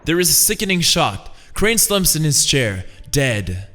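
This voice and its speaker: Animated, bright-voiced man narrating dramatically. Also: fairly high pitch, unhurried, in a large hall, a little hiss or hum. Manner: theatrical, emphatic. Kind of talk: dramatic comic-book read-along narration.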